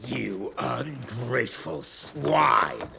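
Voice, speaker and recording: An actor playing a foul-mouthed, blustering American government secretary with a gravelly, sarcastic, explosive delivery in a video game. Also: male voice, mid-range voice, animated, extremely slow, background noise, exaggerated voice. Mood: outraged. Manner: sarcastic, explosive, heavy swearing. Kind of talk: theatrical video game acting.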